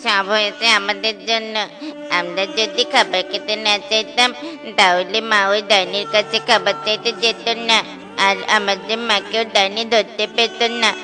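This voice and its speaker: A woman's voice: mid-range voice, fairly even intonation, moderate pace, natural voice. A woman voicing a guilt-ridden, lamenting duckling in a children's cartoon. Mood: grieving. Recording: loud children's music behind.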